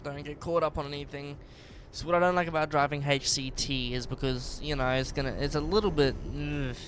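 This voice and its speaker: A man's voice: fairly high pitch, steady tone, brisk, fairly bright tone, slight background noise. A man with an Australian accent chatting casually and rambling on a live game stream, often trailing off and restarting. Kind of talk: casual live-stream chat while driving.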